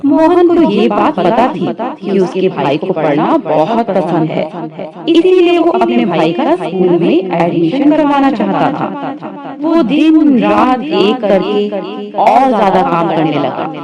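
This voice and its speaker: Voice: mid-range voice, sing-song, takes it slowly, dull audio, a loud crowd. A narrator telling a scripted moral story in a storytelling style.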